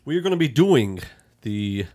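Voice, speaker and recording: A man's voice: medium pitch, big pitch swings, normal speed, mellow. A man hosting a podcast in a casual, rambling, informal group chat about a film. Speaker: podcast host.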